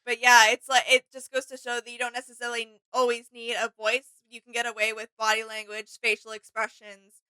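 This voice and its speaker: Bright, clear-voiced woman chatting casually. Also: a little high, monotone, brisk, no room sound, rough recording. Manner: agreeable, appreciative. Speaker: co-host of a reaction show. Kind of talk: casual reaction chat.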